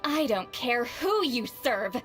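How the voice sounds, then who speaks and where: A woman playing a sharp-tongued female shaman who is centuries old but sounds young, delivered in an impatient, threatening way. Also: on the high side, big pitch swings, slow, faint music behind, full character voice. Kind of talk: video game cutscene voice acting.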